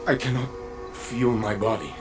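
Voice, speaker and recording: An actor playing a grave, troubled robotic warrior in a video game, gravelly, with a halting, weighty, dramatic delivery. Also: male voice, lowish voice, animated, over music, natural voice. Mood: anguished. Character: grave, troubled male robotic warrior searching his lost past.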